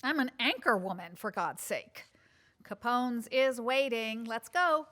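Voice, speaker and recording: A woman's performance of a prim female news anchor in a radio comedy. The voice sits fairly high, with formal, deadpan, scripted delivery and an uptight edge. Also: unhurried. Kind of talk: scripted newsreading in a comedy sketch.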